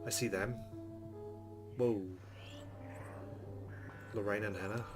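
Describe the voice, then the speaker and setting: A man's voice: lowish voice, fairly even intonation, takes it slowly. A man hosting a let's play, reading game narration aloud dramatically and adding muttered, sweary asides. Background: game music.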